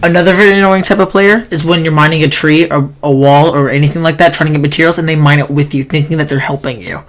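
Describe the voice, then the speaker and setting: A man's voice: on the high side, steady tone, fast, a bit bright. A man with a sore throat and a nasal voice, rambling through a gaming countdown as a YouTuber, often correcting himself mid-sentence. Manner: rambling, self-correcting, complaining. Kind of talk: casual countdown commentary.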